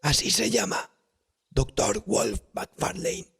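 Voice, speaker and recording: Brief: male narrator as plain-spoken country landlord in a storybook, matter-of-fact, raised and breathy pitch. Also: huge pitch swings, slow, fairly bright tone, full character voice. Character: male village innkeeper, plain-spoken pipe-smoking bearer of news.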